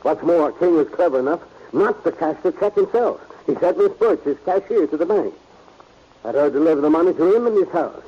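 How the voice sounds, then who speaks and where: An indignant businessman in a vintage radio crime drama. His fairly high, rough-edged voice is curt and defensive, on a muffled old recording. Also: lively intonation, fairly quick. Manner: curt, huffy, self-righteous. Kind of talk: 1940s radio drama acting.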